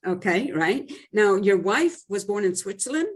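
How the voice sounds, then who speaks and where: A woman's voice: medium pitch, animated, normal speed, breathy edge. A woman interviewing a guest in a casual chat, encouraging him with short affirmations.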